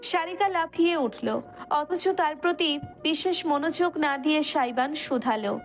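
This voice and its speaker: A woman's voice: sits high, unhurried, soft background music. A woman narrator reading a romance story as dramatic scripted narration.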